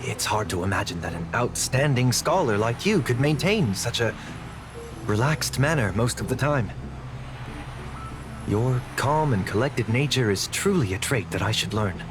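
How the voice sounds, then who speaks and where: Game role: polite young man played by an actor, mid-pitched, measured and formal, music behind. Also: animated, natural voice. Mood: respectful. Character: courteous, earnest, scholarly young man. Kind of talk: video game voice acting. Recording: loud music under the voice.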